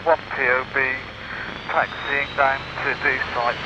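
A man's voice: mid-range voice, fairly even intonation, unhurried. A man making procedural pilot calls over an aircraft radio. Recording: dull, noisy aircraft radio transmission.

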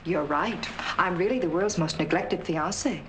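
An actress playing a young clinic nurse in a 1940s film, speaking softly and earnestly. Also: female voice, lowish voice, normal speed, close to an everyday voice. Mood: affectionate. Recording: faint hiss on old film soundtrack.

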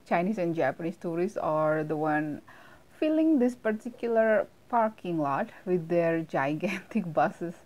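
A middle-aged woman speaks in a soft, mellow voice as she shows viewers around outdoors. She talks in a cheerful, welcoming tour-guide manner into a handheld phone. Faint music plays under her voice. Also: medium pitch, low-quality recording. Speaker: travel vlogger. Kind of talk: casual vlog narration. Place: outdoors on a town street.

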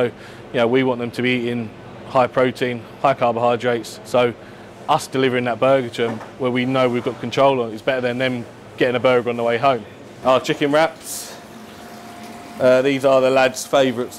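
A man's voice: medium pitch, little pitch movement, a little fast, slight background noise. A man, a chef explaining his work casually and matter-of-factly to camera. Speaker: head chef at a football club. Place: indoors, club kitchen and dressing room.

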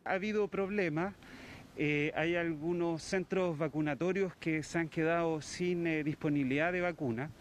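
A Chilean man, a doctor, answers a reporter in measured, hesitant sentences, his voice slightly high. Faint noise sits behind him. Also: fairly even intonation, dull audio. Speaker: doctor interviewed. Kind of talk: news interview answer.

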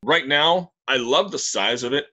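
A middle-aged man speaks over a webcam call from a small room, sounding bright and upbeat. He talks plainly and in detail as an interview guest. His delivery is easygoing and conversational. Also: medium pitch, lively intonation, unhurried. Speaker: brewery owner, guest. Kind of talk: casual video-call interview. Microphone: webcam on a video call.

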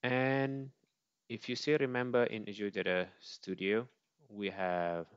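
A man presenting an informal step-by-step software demo, on a slightly dull recording with mouse clicks. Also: fairly low pitch, level tone, a little slow, smooth, no echo.

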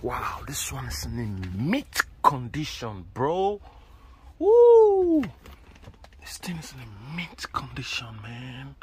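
A man's voice: mid-range voice, slow pace. Guy in his 30s showing off cars on his phone outside, hyped and chatty, noisy lot behind. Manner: excited, chatty, exclaiming over cars. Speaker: vlogger touring a car auction lot. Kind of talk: casual selfie vlog. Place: outdoor car auction lot and inside parked cars. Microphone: phone camera at arm's length. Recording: outdoor phone audio with background noise.

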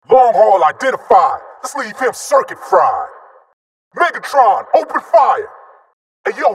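A man's voice: very high-pitched, fairly bright tone, exaggerated voice, poor audio. An actor playing a brash, cocky robot jet squadron leader in a video game, a man barking slangy, swaggering, punchy combat callouts. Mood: cocky, combative. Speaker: squad leader calling battle orders.

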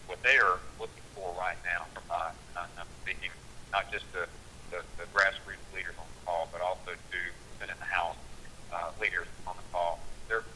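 A man's voice: low-pitched, bright, forceful tone, a little hiss or hum, low-quality recording. A congressman from Georgia giving earnest, rallying political remarks over a telephone conference call. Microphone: telephone conference line.

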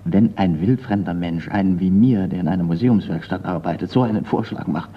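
An actor playing a blunt, sardonic, wary forgery expert in a radio crime drama. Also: male voice, low-pitched, fairly quick, breathy edge. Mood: uneasy. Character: gruff, wary museum craftsman and forgery expert, a man. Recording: dull, noisy radio play recording.

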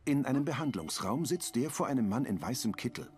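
A man's voice: medium pitch, brisk. Man reading scene descriptions. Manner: plain, even reading of scene directions. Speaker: audio description narrator. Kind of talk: scripted narration.

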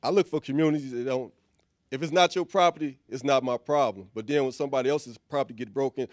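A man's voice: medium pitch, fast, dry recording, studio quality. A man in his forties from Chicago, explaining plainly and matter-of-factly into a handheld mic. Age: 40s. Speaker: inmate speaker. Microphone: handheld microphone.

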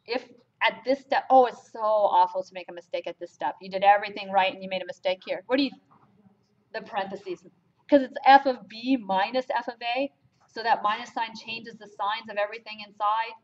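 A woman's voice: mid-range voice, brisk. A woman from Germany teaching a math class, talking emphatically and asking the students rhetorical questions. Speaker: math lecturer. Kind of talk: classroom lecture. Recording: lo-fi lecture capture.

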